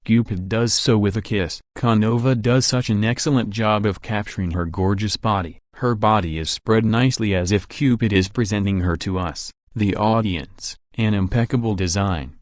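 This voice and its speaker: Actor as low-voiced, confident young art lover. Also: male voice, moderate pace, hardly any pauses, dry recording, studio quality, natural voice. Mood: passionate, explanatory. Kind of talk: visual novel voice acting.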